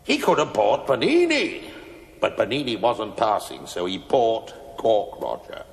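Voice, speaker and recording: A man's voice: fairly low pitch, sing-song, a little slow, creaky, heavily acted. An actor playing a wry, mercenary stonemason who is proud of his craft, speaking emphatically in a theatrical television-play style. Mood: wry, defiant. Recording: echoey hall, noisy old TV tape.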